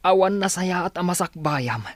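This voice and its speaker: A man playing an anxious, placating village neighbour in a radio drama, excitable and pleading. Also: high voice, moderate pace, no room sound.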